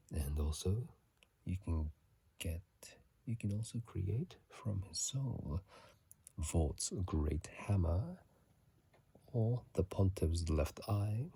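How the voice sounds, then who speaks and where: A video host whispering softly in a breathy, hushed voice, reading aloud and adding casual commentary in an ASMR style, close to a phone mic. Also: sits low. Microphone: phone mic held close.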